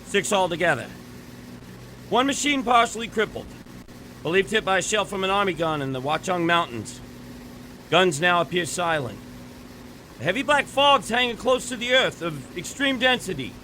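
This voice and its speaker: Casting: man playing high-voiced, sharp, urgent military man in vintage radio drama, engine noise behind. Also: lively intonation, normal speed, pauses often, rough recording. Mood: grim, clipped. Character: frantic army artillery spotter, then grim bomber pilot lieutenant. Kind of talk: 1930s radio drama acting.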